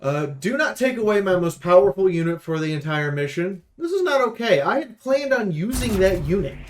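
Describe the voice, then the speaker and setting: A man's voice: sits high, sing-song, fairly quick, slight background noise. A man giving unscripted, blunt and emphatic game review commentary in an aggrieved, complaining way as a preview host.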